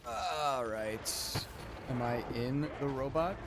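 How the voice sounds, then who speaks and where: A man's voice: medium pitch, slow pace, very noisy. A man giving casual live commentary as he streams a game, with a wry, sarcastic, grumbling manner.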